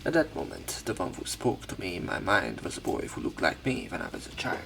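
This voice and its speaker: Deep-voiced, irreverent male let's player. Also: sing-song, a little fast, gravelly, music in the background. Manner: irreverent, crude asides. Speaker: let's play commentator. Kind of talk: reading game narration aloud with casual commentary.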